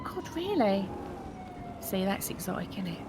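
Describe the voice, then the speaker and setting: A woman chatting in character during a roleplay game, gossipy and quick to laugh. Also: mid-range voice, wildly swooping pitch, background noise. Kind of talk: casual in-character chat.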